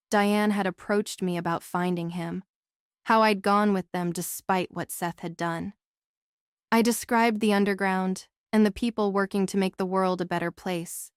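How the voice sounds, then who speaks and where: A woman's voice: mid-range voice, smooth, no echo, clean studio sound. A woman narrating a novel as a scripted audiobook.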